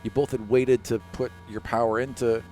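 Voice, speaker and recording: A man with a mid-pitched voice narrates a tabletop role-playing game as game master. His delivery is casual and a little halting, as he works out each description while talking. Music plays behind him. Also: little pitch movement, dark tone.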